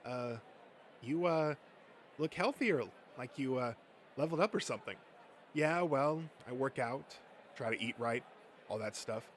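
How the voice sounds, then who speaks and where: A man's voice: mid-range voice, lively intonation, a little slow, pauses often, a little hiss or hum, lightly acted. A man voicing an awkward, easygoing adult comic geek making hesitant, self-conscious small talk in a comic dub. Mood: awkward friendliness. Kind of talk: comic dub character acting.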